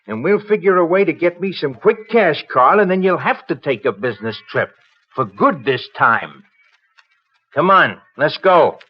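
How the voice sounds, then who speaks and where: A man's voice: a little high, animated, normal speed, slightly rough. A man playing a cold, threatening blackmailing ex-convict who gives orders in an old radio crime drama. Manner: threatening, commanding. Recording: muffled vintage radio recording, music behind.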